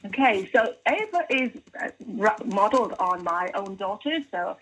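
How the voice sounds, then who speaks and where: Husky woman from Guyana, earnest. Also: mid-range voice, lively intonation, normal speed, a bit bright, rough recording. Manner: earnest and explanatory, with frequent restarts. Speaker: guest, children's author and tech professional. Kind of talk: conversational interview answers.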